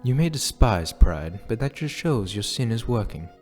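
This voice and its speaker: Man playing a low-voiced demon boss over music. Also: big pitch swings, moderate pace, darkish tone. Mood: earnest, firm. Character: authoritative demon boss, male, stern yet encouraging, measured. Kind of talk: fiction podcast audio drama acting.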